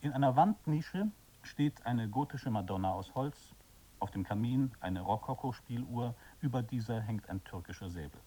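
A man's voice: mid-range voice, lively intonation, moderate pace, warm tone, distant mic, a little hiss or hum, poor audio. A man narrating a radio play, setting the scene in scripted narration.

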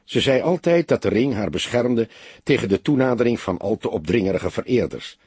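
A man's voice: lowish voice, expressive, a little fast, darkish tone, husky, no room sound, full character voice. Een man met een Nederlands en hese stem speelt in een luisterboek een jonge kantoormedewerkster die ontwijkend en stug antwoordt. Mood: matter-of-fact. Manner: evasive, hesitant, reluctant. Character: guarded, curt young female office worker under questioning.

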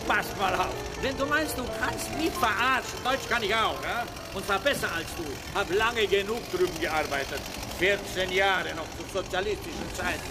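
A man's voice: high-pitched, normal speed, few pauses, loud music behind the voice, lightly acted. An actor playing a coarse, bullying forestry foreman who barks orders in colloquial speech in a radio drama. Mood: angry, bullying. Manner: blustering, barking orders, colloquial, stammers when outraged. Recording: vehicle and engine noise behind.

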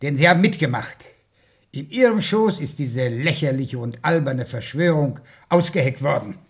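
An indignant school headmaster in a radio drama. His voice sits fairly high for a man and swings widely in pitch. He blusters with formal authority and is easily exasperated. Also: expressive, moderate pace. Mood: outraged. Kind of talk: radio play acting.

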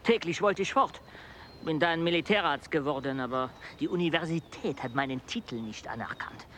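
An actor playing a restless young French botanist who talks bluntly and impatiently. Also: male voice, a little high, moderate pace, fairly bright tone, slight background noise, close to an everyday voice. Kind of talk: radio play acting.

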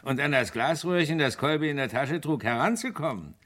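A man's voice: a little high, expressive, a little fast, a bit bright. A man acting as a courteous, probing police inspector with dry wit in a radio crime play. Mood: brisk, thoughtful. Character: courteous, dryly witty male London police inspector.

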